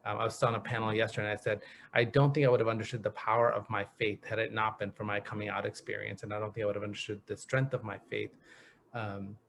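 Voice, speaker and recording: A man speaking on a video panel, thoughtful and reflective, often pausing to find the right words. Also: on the low side, flat delivery, creaky, fairly bright tone, slight background noise. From grew up in the US Northeast. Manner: thoughtful, searching for words, frequent restarts. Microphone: video call.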